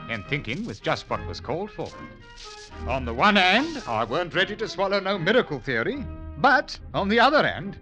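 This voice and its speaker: Excitable, pompous Cockney man. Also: sits high, full character voice. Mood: musing, self-important. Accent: English Cockney. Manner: pompous, blustering, ungrammatical with grand words. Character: pompous, excitable working-class Englishman who thinks himself scientific. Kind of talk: old-time radio comedy acting, first-person storytelling. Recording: vintage 1940s-50s radio recording.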